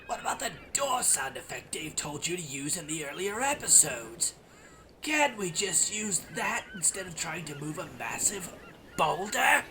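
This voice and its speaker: A man's voice: high voice, sing-song, moderate pace. A man voicing a whiny, dim, questioning sidekick in a scripted comedy audio drama. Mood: complaining. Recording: clearly noisy background.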